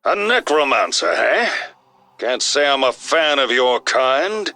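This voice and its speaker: A man playing a gruff, scornful paladin warrior in a video game, blunt and mocking. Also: mid-range voice, very animated, slow, sharp tone, faint music behind, full character voice.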